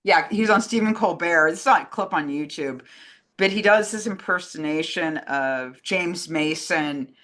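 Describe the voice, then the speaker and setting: A woman's voice: deep voice, moderate pace, fairly bright tone. A woman co-hosting a casual, conversational discussion about films. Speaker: co-host fielding fan questions. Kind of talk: casual film chat.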